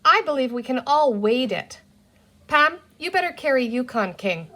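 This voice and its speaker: A woman's voice: high voice, very animated, moderate pace, bright, forceful tone, pitched above the performer's own voice, heavily acted. A woman voicing a bossy, sensible eldest girl in a children's storybook, firm and taking charge. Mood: urgent, decisive.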